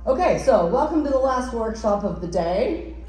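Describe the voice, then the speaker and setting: A woman's voice: on the high side, sing-song, moderate pace. A woman giving a conference presentation, partly from a script, projecting her voice as a workshop presenter in a large room. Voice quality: loud, projecting voice. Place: large indoor conference ballroom. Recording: very echoey room, some noise, distant.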